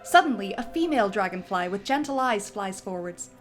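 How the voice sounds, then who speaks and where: A woman's voice: mid-range voice, animated, brisk, faint music behind. A woman narrating a film script theatrically, with a comedic dramatic flair. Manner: theatrical, breaks easily into giggles.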